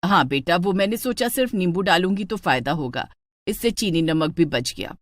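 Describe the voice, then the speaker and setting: A woman's voice: lowish voice, lively intonation, moderate pace, dry recording, lightly acted. A woman voicing a stingy, fussy middle-aged mother-in-law in a story, bossy and coaxing. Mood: coaxing, breezy.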